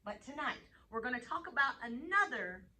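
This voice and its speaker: Female children's storyteller, bright and fairly high, animated delivery, picked up from a distance with faint noise. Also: normal speed. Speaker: host, children's Bible storyteller. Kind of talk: reading bedtime Bible stories to children, chatting with a puppet.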